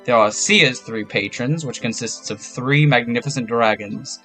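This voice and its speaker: A man's voice: mid-range voice, moderate pace, bright, forceful tone. A young man running a tabletop game as game master, describing scenes casually. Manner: casual, loose descriptions with fillers. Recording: faint background music.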